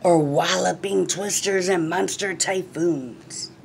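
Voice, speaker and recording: A middle-aged woman reading a children's picture book aloud in an expressive, deliberate, phrase-by-phrase way. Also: fairly low pitch. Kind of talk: read-aloud storytelling. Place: small classroom, indoors. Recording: clearly noisy room recording.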